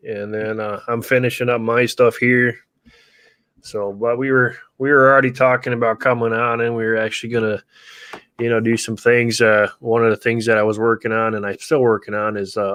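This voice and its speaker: A man's voice: mid-range voice, fairly bright tone, clean tone, no echo. A man from Wisconsin with a Wisconsin accent, hosting a casual livestream chat from his home studio, rambling and easygoing, laughing often. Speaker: livestream host.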